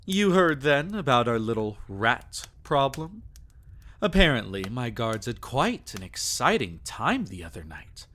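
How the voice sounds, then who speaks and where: A sadistic vampire lord, acted by a man in an intimate ASMR roleplay. He speaks slowly and theatrically, with lively rises and falls in pitch. His manner is possessive and taunting, wrapping menace in silky endearments. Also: slight background noise. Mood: coolly threatening.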